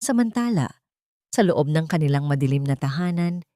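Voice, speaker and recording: A woman's voice: deep voice, lively intonation, moderate pace, dark tone, dry recording, clean studio sound. A woman narrator reading a scripted, dramatic story as an audio drama.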